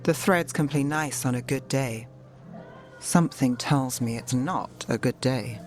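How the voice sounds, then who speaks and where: A woman's voice: very deep voice, measured pace. An actress playing a dry, world-weary woman detective in a video game, wry and understated, with music behind her. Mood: wry, guarded.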